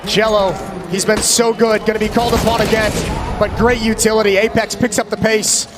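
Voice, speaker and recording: A man giving excited, emphatic live play-by-play commentary on an esports match. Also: high voice, a little fast, heavy background noise.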